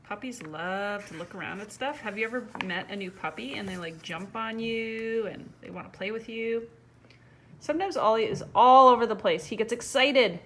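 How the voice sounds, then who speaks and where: A woman's voice: on the high side, moderate pace, fairly bright tone. Chatty woman reading to children, noisy recording. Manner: conversational, asks the listener direct questions. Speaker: storyteller reading a picture book to children. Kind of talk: casual read-aloud with chatty asides to young viewers.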